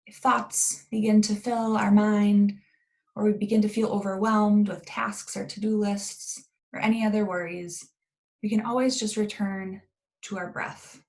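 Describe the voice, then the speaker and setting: A woman guides a meditation in a calm and gentle manner. Her voice often settles into a pronounced creak. She speaks without hurry, leaving long pauses between short phrases. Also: medium pitch.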